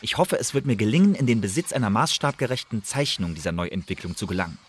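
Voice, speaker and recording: An actor playing an uneasy, scornful secret agent who delivers a formal, clipped report in a radio play. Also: male voice, on the low side, expressive, fast talker, husky.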